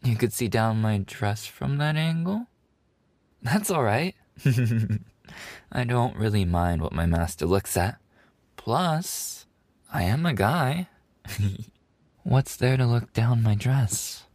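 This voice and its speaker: A man's voice: medium pitch, animated, takes it slowly, long pauses, darkish tone, no room sound. A man voicing a playful, affectionate animal-boy maid café server in an ASMR roleplay, teasing and giggly in a cutesy way. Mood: teasing, cheerful.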